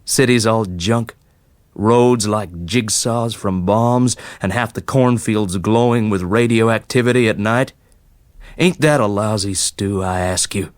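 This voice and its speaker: A man voicing a rough, folksy fellow, opinionated and bitter but kindly, in colloquial rustic speech. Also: lowish voice, takes it slowly, no echo. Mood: bitter, philosophical.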